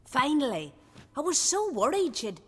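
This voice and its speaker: A woman's voice: sits high, big pitch swings, normal speed, in a large hall. A woman playing a fretful, kind middle-aged village mother in a video game, speaking in a motherly, folksy way. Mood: concerned.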